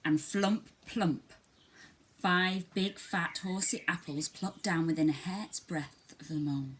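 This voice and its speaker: A woman reading a children's story aloud in an expressive, dramatic way. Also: fairly low pitch, slow. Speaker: storybook reader.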